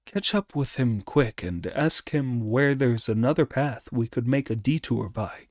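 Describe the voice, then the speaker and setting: A man's voice: mid-range voice, measured pace, a little mellow, no echo, clean studio sound, close to an everyday voice. A man voicing a pious, anxious master monk in a storybook reading, with a plaintive manner. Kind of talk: storybook character dialogue.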